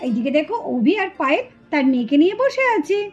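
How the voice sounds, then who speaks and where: A woman's voice: high-pitched, big pitch swings, fast, darkish tone, dull audio. A woman narrator telling the story in a puppet doll show.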